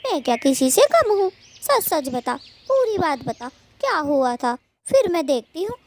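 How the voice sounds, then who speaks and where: A woman's voice: high voice, very animated, moderate pace, a little mellow, slight background noise, voice pushed up. A woman voicing a bossy, gossiping female cartoon bird with a scolding, dramatic, chatty delivery. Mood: aggrieved, scolding. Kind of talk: children's moral-story cartoon dubbing.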